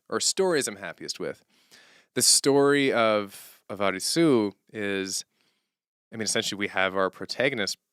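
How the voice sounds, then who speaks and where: A man chatting casually and unscripted as a streamer and songwriter, animated and rambling as he thinks aloud and interrupts himself. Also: lowish voice, clean tone, no echo, studio quality. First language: English as main language, German heard at home.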